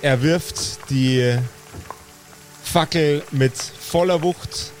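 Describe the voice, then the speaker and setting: A man runs an improvised tabletop game as game master. He narrates slowly in a slightly high voice, with faint music playing underneath. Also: darkish tone. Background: background music.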